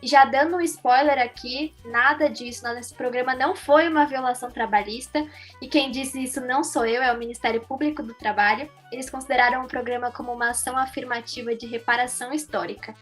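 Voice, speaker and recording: Woman, Brazilian accent, high pitch, casual podcast co-host, faint music behind. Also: steady tone, quick pace, a bit bright. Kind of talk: casual conversational hosting.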